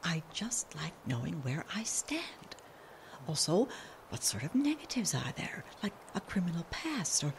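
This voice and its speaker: A woman's voice: lowish voice, very animated, a little slow, vocal fry, a little hiss or hum, exaggerated voice. An actress playing a smitten, wistful older woman with a mischievous streak, speaking in wry, wordy, old-fashioned phrases in an audio drama. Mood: furtive, hushed.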